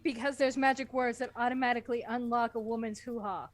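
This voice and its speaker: A woman with a high voice trades casual comedic banter as a co-host. She sounds sarcastic and put-upon, with an exasperated edge to her remarks. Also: steady tone, quick pace. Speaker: podcast co-host and voice reader.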